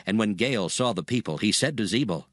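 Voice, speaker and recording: A man narrating a dramatized Bible in a solemn, measured storytelling style. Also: lowish voice, animated, dry recording. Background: background music.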